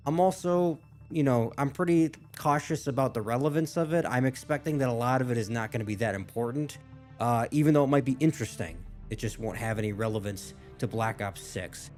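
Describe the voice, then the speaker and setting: A man's voice: mid-range voice, a little fast, a little mellow. A man with a mid-range voice hosts a reaction video. He comments casually and tentatively, reasoning aloud as he goes. Faint game music sits behind him. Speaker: gaming reaction video host.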